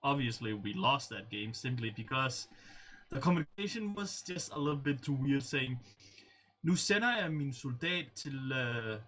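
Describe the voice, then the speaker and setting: A man's voice: medium pitch, animated, normal speed, fairly bright tone. A man co-casting a strategy game replay, speaking hesitantly with many fillers and chuckling along, on a home microphone. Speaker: game co-caster. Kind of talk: casual strategy game commentary.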